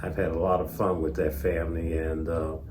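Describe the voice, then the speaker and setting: An older man reminiscing as an interview guest in a measured, modest, hesitant way. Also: deep voice, little pitch movement, a little mellow. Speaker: interview guest, tour manager. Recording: faint background noise.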